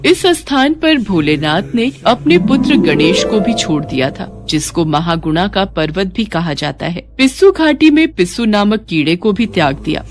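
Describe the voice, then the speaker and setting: Female narrator for devotional stories, animated delivery, faint music underneath. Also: medium pitch. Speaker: story narrator. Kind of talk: scripted devotional storytelling narration.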